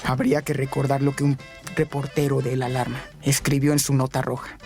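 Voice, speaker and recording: A man's voice: mid-range voice, level tone, a little fast, a little mellow. A man delivers scripted documentary narration for radio. His intonation stays level and restrained in range, and music plays underneath.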